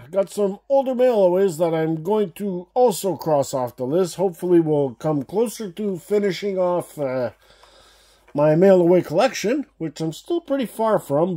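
A man's voice: high voice, mellow. A man hosting a casual unboxing vlog, chatty and enthusiastic, with a slight cough, calling his viewers guys. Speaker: diecast collector channel host. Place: indoor room with shelves of toy cars.

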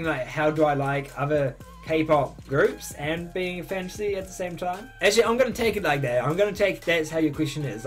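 Excitable eighteen-year-old guy vlogging. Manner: excitable, swings up high when enthusing. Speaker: YouTuber answering fan questions. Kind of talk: casual Q&A vlog. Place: bedroom, indoors.

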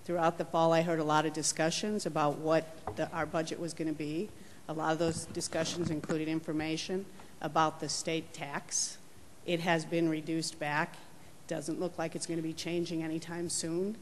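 A woman giving public comment at a school board meeting, steady and concerned. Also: low-pitched, normal speed. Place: large auditorium. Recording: reverberant hall, faint room noise.

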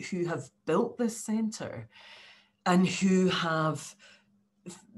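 An older woman from Scotland, a professor giving an earnest, informal speech over a video call. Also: on the low side. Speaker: university professor, panel speaker. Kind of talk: informal speech at an online launch. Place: living room at home, indoors.

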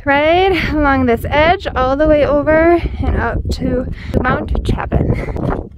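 American female vlog host, 30s, high pitch, upbeat and casual, very noisy outdoor recording. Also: slow pace, highs cut off. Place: outdoors on an open mountain trail. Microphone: handheld camera at arm's length.

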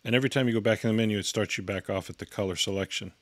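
Man, slightly deep voice, instructional demo, step-by-step, matter-of-fact, very dry sound. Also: fairly even intonation, very fast, no room sound. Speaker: product reviewer and demonstrator. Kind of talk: instructional product walkthrough.

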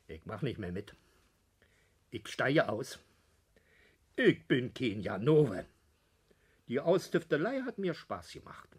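An actor playing a nervous, fussy small-time crook with a Berlin accent in a 1960s German radio play, agitated and argumentative. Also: male voice, medium pitch, slightly rough, full character voice. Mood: resigned, firm.

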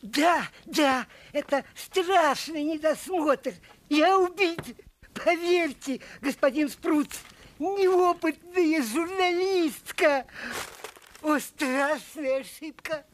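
A cringing male newspaper editor from a cartoon, with a very high voice. He drags his words out melodramatically, both obsequious and spiteful. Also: drawn-out words. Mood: grovelling, panicked. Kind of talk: animated film acting.

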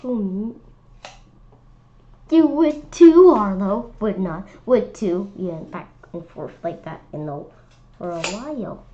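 Woman reader, children's storybook read-aloud, slow and halting with restarts, lo-fi recording. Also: medium pitch, expressive, mellow, slight background noise. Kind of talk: reading a picture book aloud. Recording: slightly dull lo-fi sound.

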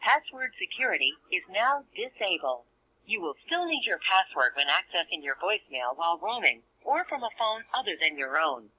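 Lively, slightly husky woman on a voicemail system. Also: lowish voice, normal speed. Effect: telephone. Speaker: automated voicemail system announcer. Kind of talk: scripted phone menu prompts. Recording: dull lo-fi phone speaker playback.

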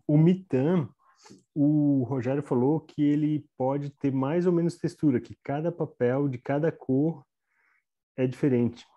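A man's voice: on the high side, takes it slowly, warm tone. A man on a video call casually passing on advice he heard. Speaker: online class participant. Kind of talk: casual chat. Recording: muffled video-call audio.

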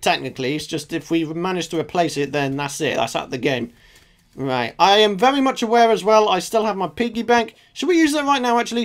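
Chatty man, voice going hoarse. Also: sits high. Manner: chatty, self-mocking, muttering asides to the game. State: sore throat, voice going. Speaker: gaming channel host. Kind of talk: casual let's play commentary.

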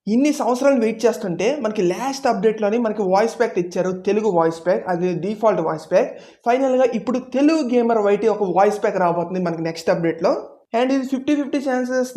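Very fast-talking Telugu woman gaming YouTuber. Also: mid-range voice, warm tone. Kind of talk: casual gaming news update to viewers.

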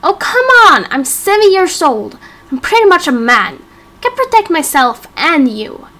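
A woman's voice: high-pitched, very animated, measured pace, a bit bright, exaggerated voice. A woman voicing a brash, cocky seven-year-old boy in a game roleplay, eager, teasing and boastful. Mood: cocky, insistent. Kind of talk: amateur game roleplay acting.

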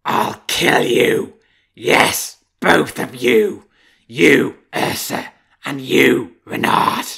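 Brief: man voicing a storybook grey wolf, enraged, gravelly bellowing shouts. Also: medium pitch, expressive, drawn-out words, heavily acted. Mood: furious. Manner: bellowing threats, drawn out. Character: huge furious grey male wolf. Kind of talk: storybook reading.

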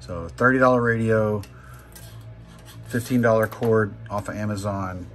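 A man's voice: lowish voice, a little slow. A man presenting an informal step-by-step how-to, punctuating the steps with short exclamations. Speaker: tutorial presenter. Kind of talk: casual step-by-step how-to narration. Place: indoor room, behind a handheld camera.

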